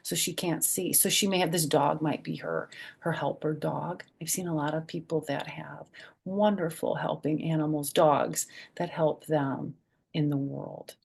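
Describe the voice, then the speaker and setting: A woman with a fairly low, gravelly voice leads a storytime for children. She reads picture-book rhymes expressively and explains things in simple, chatty language. There is a noticeable creak and rasp in her delivery. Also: fairly even intonation, measured pace.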